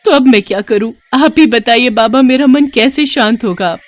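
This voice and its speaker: A woman's voice: mid-range voice, lively intonation, moderate pace, soft background music, lightly acted. Intense woman voicing a vengeful snake woman. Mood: pleading. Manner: dramatic, emphatic, emotional. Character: grieving, vengeful female snake shapeshifter in human form.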